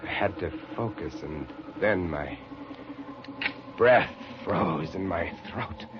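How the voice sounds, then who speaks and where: An actor playing a haunted lighthouse keeper, narrating with dramatic suspense and lingering on vivid words in 1950s radio style. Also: male voice, low voice, slow pace, noisy background. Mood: hushed horror. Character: haunted lighthouse keeper recounting a terror, a man. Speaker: narrator and character. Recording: muffled vintage radio recording with music.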